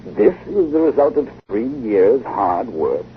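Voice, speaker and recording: An actor playing a sceptical, uneasy gentleman in a 1950 radio drama, with a formal, hesitant, incredulous way of speaking. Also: medium pitch, very animated, a little slow. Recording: noisy, muffled old radio broadcast.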